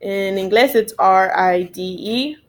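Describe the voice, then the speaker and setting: A woman gives a bilingual spelling lesson at a very slow, deliberate pace. She switches between Spanish and English and spells each word out letter by letter. Her pitch sits in a middle range. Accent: Spanish-speaking learner of English. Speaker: teacher of English sight words.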